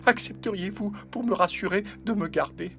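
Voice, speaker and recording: High-pitched, timid little cartoon rat. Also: male voice, moderate pace, creaky, fairly bright tone, faint music behind. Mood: fearful pleading. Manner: meek, pleading. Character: small timid rat, fearful, pleading, polite. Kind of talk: animated fable character acting.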